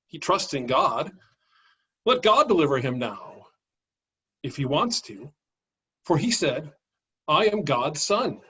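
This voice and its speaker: Man voicing a jeering, raspy biblical mocker. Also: on the high side, sing-song, slow pace, pauses often, pushed and bright, heavily acted. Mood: scornful. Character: taunting crowd of mockers and priests, scornful. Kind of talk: dramatic scripture reading.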